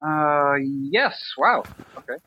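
A man's voice: on the high side, animated, takes it slowly, dull audio, slight background noise. A man playing a tabletop game, joking in a wry, dry way. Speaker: player. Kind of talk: casual tabletop play.

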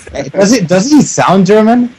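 A man's voice: sits high, a little mellow, a little hiss or hum. A man playing a tabletop game, asking teasing, playful questions. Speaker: player. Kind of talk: casual tabletop game chat.

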